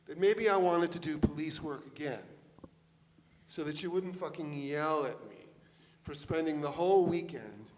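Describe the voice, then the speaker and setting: An actor playing an irritable, sweary detective chef in a live staged play reading, in a theatre. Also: male voice, on the high side. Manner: sweary, exasperated. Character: irritable detective chef, secretly obsessed with cookbooks. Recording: echoey theatre recording with noise.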